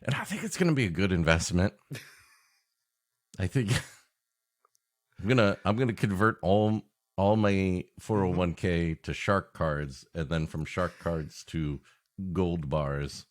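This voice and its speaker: A man's voice: low voice, slow pace, pauses often. A man co-hosting a comedy podcast, improvising dry, rambling mock-earnest riffs. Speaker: podcast co-host. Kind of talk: improvised comic banter.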